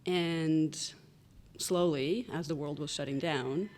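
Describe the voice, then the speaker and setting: Woman in her thirties, animated guest telling her story. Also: sits low, slow. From Canada. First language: Russian. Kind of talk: casual podcast interview.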